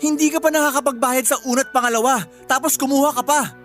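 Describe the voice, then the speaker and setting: A woman's voice: high-pitched, steady tone, quick pace, natural voice. A woman with a Filipino accent playing an angry, suspicious girlfriend in a radio drama, sarcastic and confrontational. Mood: angry, accusing. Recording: music under the voice. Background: background music.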